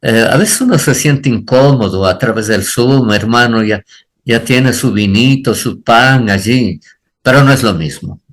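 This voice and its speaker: A man's voice: medium pitch, a little slow. An older man, a pastor answering on a church panel, speaking earnestly and repeating phrases for emphasis.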